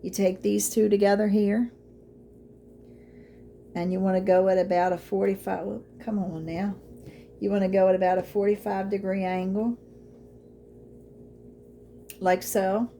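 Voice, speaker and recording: Lady, hoarse, walking you thru a craft at home, mellow dark voice, faint room hum. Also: mid-range voice, flat delivery, a little slow, pauses often. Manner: chatty, encouraging, says y'all a lot. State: losing her voice. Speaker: craft tutorial host. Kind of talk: casual how-to vlog.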